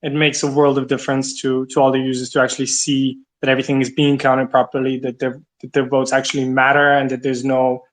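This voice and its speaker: A man's voice: mid-range voice, completely flat delivery, fairly quick, hardly any pauses. A man from Serbia hosting a casual online audio chat in a conversational, opinionated way. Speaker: host.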